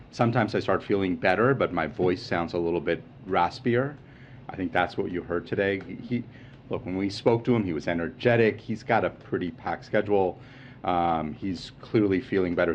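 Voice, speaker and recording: A lower-pitched man fielding questions at a press briefing, patiently explaining and then lifting his voice with upbeat reassurance. The audio is slightly muffled, with a faint hiss underneath. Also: normal speed. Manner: reassuring, explanatory, animated emphasis. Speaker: medical official at briefing.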